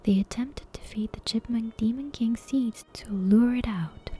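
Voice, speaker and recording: Whispering woman reading a bedtime story. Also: a little high. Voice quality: soft, breathy unvoiced whisper. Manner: hushed, deliberate storybook narration. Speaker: ASMR storyteller. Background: soft game soundtrack music.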